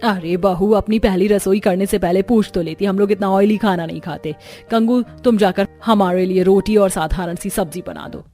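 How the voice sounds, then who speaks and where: A woman's voice: mid-range voice, steady tone, normal speed, hardly any pauses, mellow, soft background music, lightly acted. A woman voicing a disapproving older mother-in-law in a cartoon, stern, sarcastic and scolding.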